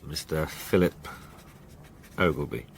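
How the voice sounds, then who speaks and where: An actor playing a blunt, steady police sergeant in a radio drama, questioning in a plain-spoken, probing way. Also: male voice, extremely low pitch, slow pace, creaky, a little hiss or hum, full character voice. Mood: firm. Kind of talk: British radio drama acting.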